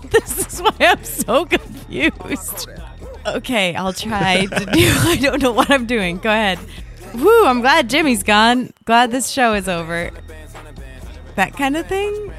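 A woman's voice: on the high side, wildly swooping pitch, slow pace, fairly bright tone, soft background music. A woman co-hosting a comedy podcast, giggly and playful, laughing often in casual chat. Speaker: podcast co-host.

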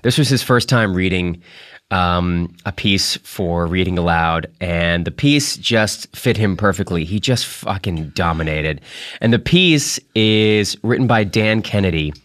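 A man hosting a podcast, introducing material in a casual, halting, informal way. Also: deep voice, expressive, fairly bright tone, dry recording, studio quality. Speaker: podcast host.

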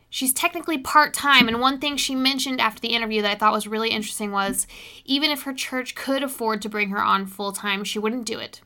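A woman's voice: fairly high pitch, creaky, bright, forceful tone. A woman hosting a podcast, reading an upbeat intro and asking interview questions. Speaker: podcast host.

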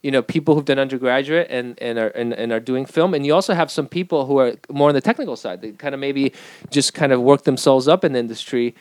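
A middle-aged man from Peru, a film director talking casually in an interview, halting with many restarts and fillers. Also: mid-range voice, no echo.

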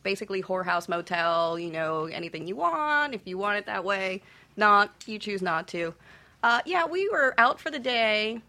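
A woman's voice: fairly low pitch, bright, forceful tone. A woman on a radio panel, casually telling explicit stories in a candid, unabashed and sweary way.

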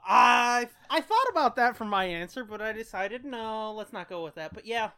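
Bright-voiced man bantering on a podcast. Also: high-pitched, animated, normal speed, smooth, dry recording. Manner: crude, joking. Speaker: podcast co-host. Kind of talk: casual profane banter.

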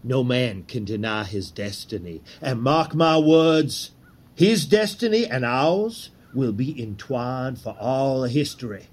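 An actor playing a zealous conspirator leader in a historical drama, speaking in grand, declamatory rhetoric. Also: male voice, medium pitch, slow pace, darkish tone. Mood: grave resolve.

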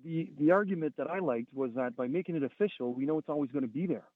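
A man's voice: fairly high pitch, fast talker, no room sound. Francophone male caller, earnest, stumbling repeats, phone-line sound. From Ontario, Canada. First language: French. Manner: earnest, repeats words when pressing a point.

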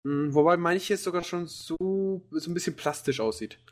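A man's voice: a little high, expressive, moderate pace. A man co-hosting a casual anime podcast, rambling with many fillers and restarts. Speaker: podcast co-host. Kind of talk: casual chat about anime.

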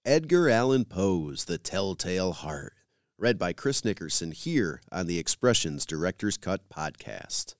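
Lively-pitched man hosting a podcast, dry sound. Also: unhurried, no room sound. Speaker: podcast host. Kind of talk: scripted podcast host narration.

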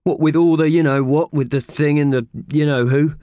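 A man's voice: fairly high pitch, steady tone, normal speed, dark tone, no room sound, clean studio sound, pitched above the performer's own voice, lightly acted. A man voicing a chatty, nosy postman in a children's storybook, rambling and inquisitive.

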